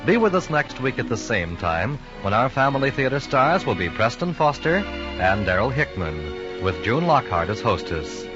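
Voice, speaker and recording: A man's voice: mid-range voice, sing-song, normal speed. A man announcing a scripted network sign-off in 1940s radio style. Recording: muffled vintage radio recording. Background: loud music.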